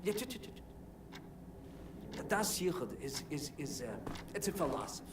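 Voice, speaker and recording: An actor playing an elderly, eccentric, proud scholarly engineer in a game, speaking deliberately with occasional stammers. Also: male voice, fairly high pitch, big pitch swings, background noise. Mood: earnest, flustered. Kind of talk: video game cutscene acting.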